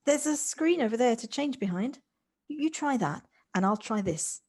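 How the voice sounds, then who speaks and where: A woman's voice: a little high, expressive, normal speed, a little mellow. An amateur actress playing an effusive, encouraging and sympathetic woman in an audio drama, often stammering into her phrases. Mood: excited. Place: recorded at home on a personal device.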